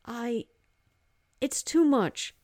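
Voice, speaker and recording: Woman playing a bewildered young woman, skeptical, sharp, storybook voice. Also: on the high side, drawn-out words, no room sound, voice pushed up. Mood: dazed. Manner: sharp and disbelieving.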